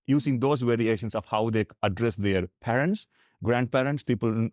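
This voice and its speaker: A South Indian man, a native Tamil speaker with the accent to match, talks in a fairly deep voice. He explains his views earnestly and at length, in candid conversational style. The sound is dry, with little room in it. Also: darkish tone, no room sound. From Tamil Nadu, South India. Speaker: podcast guest.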